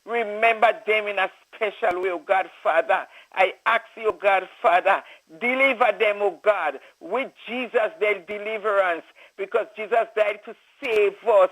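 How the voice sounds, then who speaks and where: A man's voice: high voice, a bit bright, low-quality recording. A man leading a fervent spoken prayer, calling out "oh God, Father" between his phrases. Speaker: prayer leader.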